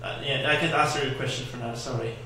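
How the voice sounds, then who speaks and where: A man's voice: mid-range voice, fairly even intonation, fast, bright, forceful tone. A man presenting research at a conference, answering audience questions hesitantly with many restarts. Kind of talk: academic Q&A discussion. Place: conference lecture room. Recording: echoey hall with faint noise.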